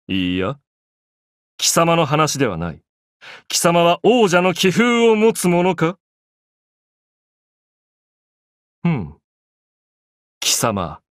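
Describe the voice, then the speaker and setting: An actor playing an arrogant, grandiose young pharaoh in an anime game, declaiming in an imperious, pompous way. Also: male voice, high voice, fairly bright tone, dry recording, clean studio sound, heavily acted. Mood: haughty, intrigued.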